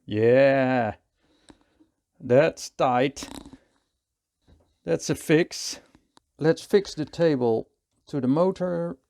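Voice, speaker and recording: A man with a Dutch accent, calmly thinking aloud as he works through a hands-on repair tutorial. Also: mid-range voice, extremely slow, vocal fry, long pauses. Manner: calm, thinking aloud while working. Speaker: repair technician.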